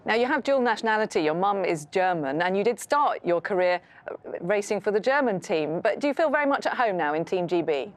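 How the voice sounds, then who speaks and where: News presenter, female, mid-pitched, brisk and conversational. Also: lively intonation. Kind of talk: studio news interview.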